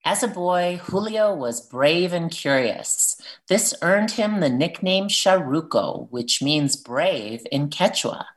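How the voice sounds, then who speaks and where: A woman's voice: lowish voice, expressive, slow pace, hardly any pauses, low-quality recording. A woman author talking earnestly about her children's book and reading from it aloud. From Peruvian family, living in Arizona.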